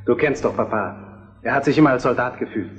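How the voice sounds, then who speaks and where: A man's voice: mid-range voice, fairly quick, faint music behind, natural voice. A man plays a crown prince in a historical radio play. He is conciliatory, affectionate and reasonable in a medium register. The recording is a little dull, with long reverb. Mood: earnest. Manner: conciliatory, explaining. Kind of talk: historical German radio drama acting.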